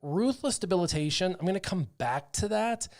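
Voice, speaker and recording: A man casually talking through his game setup on a livestream, chatty and excitable, with lots of "um" and "like". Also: high voice, normal speed. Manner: chatty, excitable, full of fillers like "um" and "like". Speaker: streamer playing a mobile game.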